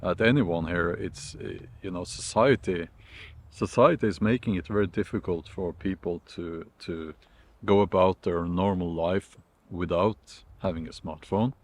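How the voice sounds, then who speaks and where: A middle-aged Norwegian man talking casually to camera outdoors in the woods, unhurried and thoughtful, pausing with many fillers and restarts. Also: low voice, darkish tone. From Norway. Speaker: vlogger.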